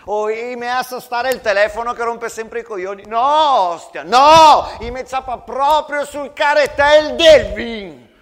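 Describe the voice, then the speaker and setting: An actor playing a loud, indignant, hot-headed Italian trench soldier with a Venetian accent, in a theatrical monologue. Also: male voice, very high voice, a little slow, hardly any pauses, voice pushed up. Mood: outraged. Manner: coarse, emphatic, repeats his words.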